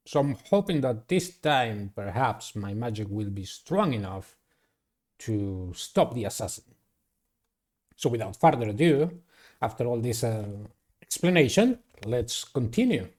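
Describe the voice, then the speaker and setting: A man hosting a gaming channel, giving halting, theatrical playthrough commentary and narration with formal wording. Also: medium pitch, very animated, a little mellow. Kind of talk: playthrough commentary and read-aloud visual novel narration.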